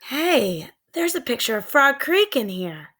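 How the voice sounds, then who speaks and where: A woman's voice: high-pitched, sing-song, unhurried, fairly bright tone, voice pushed up, full character voice. A woman voicing a sensible, cautious eight-year-old boy, reluctant and fretful, in a children's storybook read-aloud. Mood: wary.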